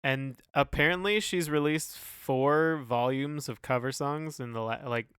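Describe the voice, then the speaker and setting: A man's voice: medium pitch, unhurried, a bit bright, clean tone, no echo, clean studio sound. A man co-hosting a music podcast, talking casually and hesitantly as he gropes for descriptive words. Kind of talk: casual chat reviewing songs.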